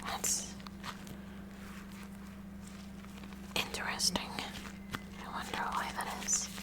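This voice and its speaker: ASMR roleplay: female performer as nerdy, kooky science club president, fully whispered, unhurried, haltingly curious and awkward. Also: natural voice. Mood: curious observing.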